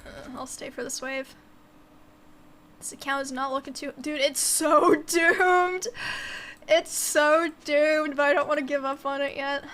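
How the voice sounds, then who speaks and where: A woman's voice: sits high, fairly even intonation, a little slow, a bit bright, a little hiss or hum. A young woman in her twenties whose first language was Mandarin, chatting casually on a game stream, giggling and filling her talk with "like" as she reads chat aloud.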